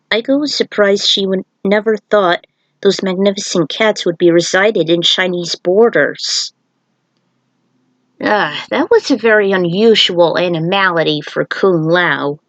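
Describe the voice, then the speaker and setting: A woman's voice: mid-range voice, dry recording. Woman casually reading a story, dry sound. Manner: informal, breaks off for offhand commentary. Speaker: fan fiction reader and author. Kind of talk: casual read-aloud narration with asides.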